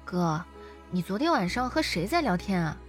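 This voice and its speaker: An actress playing a curious, playful younger sister at an observatory in a Mandarin audio drama. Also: female voice, mid-range voice, very animated, normal speed, soft background music, close to an everyday voice. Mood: curious, cheerful.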